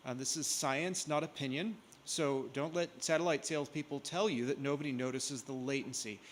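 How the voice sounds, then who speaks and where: A man's voice: medium pitch, steady tone, moderate pace, slight background noise. A man, giving a technical conference presentation from a lectern in a large hall, explaining plainly with frequent ums. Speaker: conference speaker. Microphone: lectern mic.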